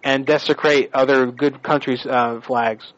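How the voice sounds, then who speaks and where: A man's voice: mid-range voice, fairly even intonation, unhurried. A man making a prank phone call on a radio show, badgering and bossy in his accusations.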